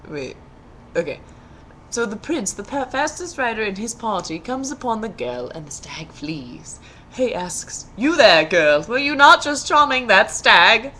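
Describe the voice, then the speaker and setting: Lady reading a fairy tale, playful n theatrical, really animated, highish, little bit of noise behind. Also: rough recording. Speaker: storyteller. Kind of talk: dramatic fairy-tale reading with casual humorous asides.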